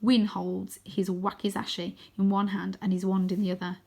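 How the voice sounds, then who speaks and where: A woman narrating a children's adventure story expressively, with a dramatic, sometimes hushed delivery. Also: mid-range voice, level tone, moderate pace, gravelly, breathy edge. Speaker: storybook reader.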